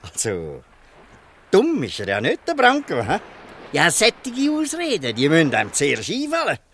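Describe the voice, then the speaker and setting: Radio play casting: old Swiss German fisherman, gruff and blustering, high-pitched, bright and forceful. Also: wildly swooping pitch, moderate pace, a little hiss or hum, full character voice. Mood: sceptical, then softening. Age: older. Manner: blustering, ends taunts with a questioning "hä". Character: gruff old fisherman, scolding, shrewd, softening. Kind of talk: dialect radio play acting.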